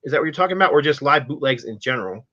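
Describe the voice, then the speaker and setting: A middle-aged man with a medium-pitched, bright and forceful voice hosts a casual music chat. He sounds matter-of-fact and opinionated. The recording is slightly dull. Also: animated, very fast. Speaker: podcast host.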